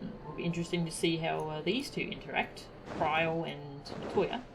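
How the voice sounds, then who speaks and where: A woman's voice: deep voice, fairly even intonation, moderate pace, noisy background. A woman giving casual, playful live commentary as a game streamer, sounding amused. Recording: stream with loud game music. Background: game music.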